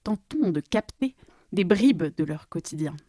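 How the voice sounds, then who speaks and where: A woman narrating a scripted story as narrator, with measured, deliberate phrasing. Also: lowish voice, expressive, heavy background noise.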